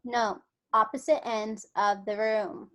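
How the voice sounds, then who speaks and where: A woman's voice: medium pitch, level tone, slow, a bit bright. A woman reading the part of a bossy, scornful alien examiner, dry and blunt, at a script table read. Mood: annoyed. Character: scornful, bossy female alien examiner testing abducted humans.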